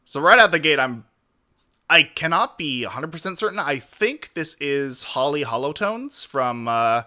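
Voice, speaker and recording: Bright-voiced man hosting a livestream. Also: a little high, sing-song, unhurried. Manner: wordy, roundabout, mildly formal turns of phrase. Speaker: streamer hosting a reaction stream. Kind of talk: casual livestream commentary.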